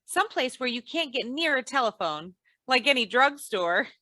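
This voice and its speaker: A woman playing a patient, sensible, gently teasing housewife in old-time radio comedy, with a folksy, gently chiding manner. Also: high voice, very animated, normal speed, no room sound, studio quality, exaggerated voice.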